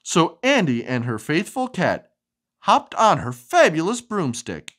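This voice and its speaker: A woman reading a storybook aloud to a young child in an expressive, sing-song way. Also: low voice, measured pace. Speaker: storybook reader.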